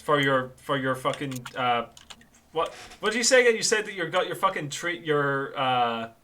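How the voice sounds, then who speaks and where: A man's voice: on the high side, lively intonation, moderate pace, bright, forceful tone. A man in a tabletop game group chatting casually out of character, loose and joking and swearing freely, over an online voice chat mic. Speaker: player.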